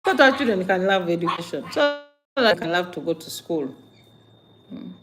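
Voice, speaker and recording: A woman's voice: on the low side, animated, moderate pace, music in the background. A woman from Uganda with a Ugandan accent hosts a casual livestream, talking to viewers emphatically, with repeated phrases, rhetorical questions and questioning hums. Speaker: livestream host.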